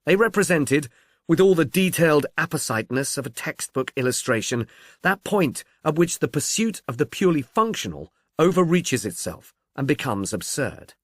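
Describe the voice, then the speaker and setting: Expressive man narrating, very lively pitch. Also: moderate pace, no echo, studio quality. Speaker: audiobook narrator. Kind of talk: scripted literary mystery narration.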